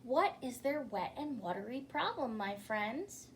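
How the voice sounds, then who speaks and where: Slow, animated woman reading a storybook. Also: a little high, a little hiss or hum. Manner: theatrical, addresses young listeners directly. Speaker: storytime host reading aloud. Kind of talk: expressive children's storybook read-aloud.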